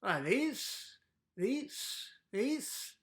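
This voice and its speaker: A woman voicing a gruff, impatient immigrant shopkeeper in his fifties, halting and snappish, in a dramatic book reading. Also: fairly high pitch, sing-song, heavily acted. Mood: uncertain. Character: gruff impatient older male immigrant storekeeper.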